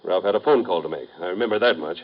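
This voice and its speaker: An actor playing a hungover, rich American playboy in a 1950s radio drama, sardonic and weary. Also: male voice, sits low, fast talker. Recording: dull old radio broadcast.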